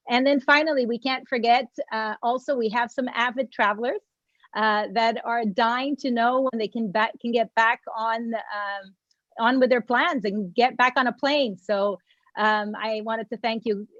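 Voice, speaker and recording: A woman's voice: fairly high pitch, dry recording. A woman who grew up in Mexico, moderating and opening a virtual panel with effusive, gracious thanks to her guests. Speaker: panel moderator and agency founder. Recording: dry, slightly dull video call audio.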